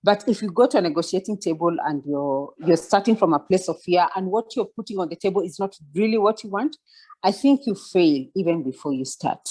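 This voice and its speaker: A woman in her forties speaks in a fairly low voice, mentoring a group over a video call. She talks reflectively, pausing and restarting often as she works through her thoughts and checks that her listeners are following. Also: expressive, a little mellow, poor audio. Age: 40s. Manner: reflective, many fillers and restarts, asks listeners to follow along. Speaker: guest speaker.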